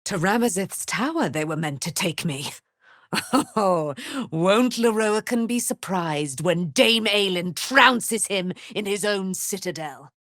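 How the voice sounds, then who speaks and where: A woman's voice: medium pitch, animated, slow, a bit bright, no echo, clean studio sound. A woman playing a proud, noble immortal warrior in a fantasy video game, with grand, archaic, theatrical speech. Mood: haughty, mocking.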